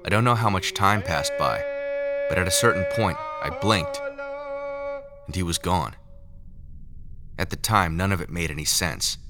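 A very low-pitched male scientist played by a male actor tells his story in a sci-fi audio drama. He is haunted and measured, brooding over each phrase, with music clearly playing underneath. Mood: brooding, grave. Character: haunted male lab scientist recounting secret experiments. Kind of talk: first-person scripted audio drama narration.

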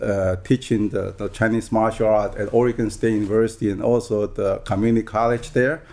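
A man in his sixties from Taiwan, a guest on a podcast interview, talking in a soft, halting way with many restarts. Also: fairly low pitch, fairly even intonation. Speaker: guest real estate broker.

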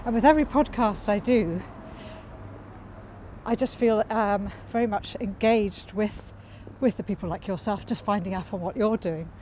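A woman who hosts a podcast, chatting in a calm, reflective way with frequent restarts while walking outdoors. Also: mid-range voice, normal speed. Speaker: podcast host. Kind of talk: casual outdoor conversation. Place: walking outdoors by a cycle path in a city. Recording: street noise outdoors.

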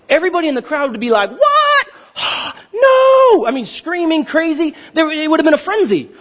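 A man's voice: very high-pitched, highs cut off. A man preaching as a pastor in an informal, conversational way, talking directly to his congregation. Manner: informal, animated, rhetorical check-ins to listeners. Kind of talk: conversational sermon with scripture reading.

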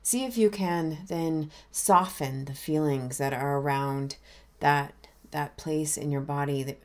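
A woman minister leading a guided meditation in a gentle, unhurried, inviting way. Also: low voice.

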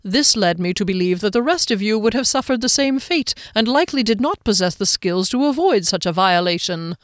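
A woman's voice: mid-range voice, animated, fairly quick, no room sound, studio quality, close to an everyday voice. A woman voicing a smug, pompous male halfling thief with wordy, dignified speech in a fantasy storybook. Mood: dignified, boastful. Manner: pompous, verbose, formal diction.

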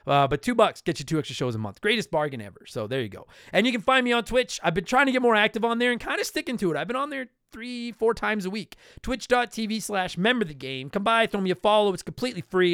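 High-pitched, casual male podcast host. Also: big pitch swings, quick pace, fairly bright tone, clear, resonant tone, no echo, studio quality. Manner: self-deprecating, joking, blunt. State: slightly hoarse from talking. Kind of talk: casual solo podcast talk with promotional plugs.

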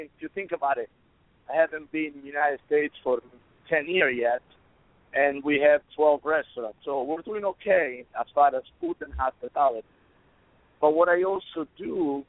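Hoarse Italian-accented man on a phone line. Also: medium pitch, dry recording. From Italy. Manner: rambling, restarts, listing. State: hoarse, losing his voice. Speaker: guest. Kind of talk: interview answers.

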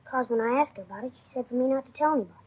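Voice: sits high, racing, husky. A performer playing a worried, earnest young boy in a 1950s American radio drama, hesitant and confiding. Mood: troubled. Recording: muffled old radio recording.

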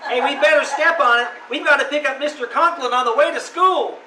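A man's voice: extremely high pitch, a little fast. A man playing an eager, gawky teenage schoolboy in an old-time radio comedy. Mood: eager, breezy. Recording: roomy live stage, faint noise.

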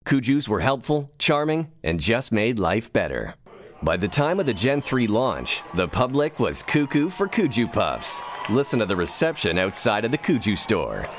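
An actor playing a measured documentary narrator, delivering scripted narration. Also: male voice, fairly low pitch, sing-song, slight background noise.

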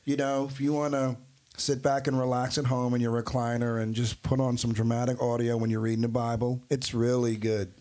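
A playful man co-hosting a podcast, tossing in jokes between casual remarks. His voice sits at an ordinary middle pitch. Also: level tone, brisk, dark tone. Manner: joking, playful. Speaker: podcast co-host. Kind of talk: casual chat.

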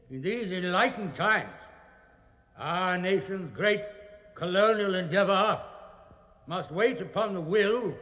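An actor playing a pompous British lord making an oratorical speech in a radio drama. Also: male voice, high-pitched, fairly bright tone, exaggerated voice. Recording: long echoing chamber reverb.

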